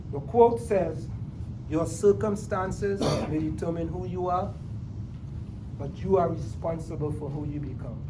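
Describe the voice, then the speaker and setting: A man in his sixties from Trinidad and Tobago gives a motivational talk and keeps turning his points into questions. His voice sits high for a man. He is picked up from a distance in an echoey room with a clear noise bed behind him. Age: 60s. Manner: keeps asking "right?" after points; plays with invented long words. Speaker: motivational speaker. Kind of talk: motivational talk to a sales team.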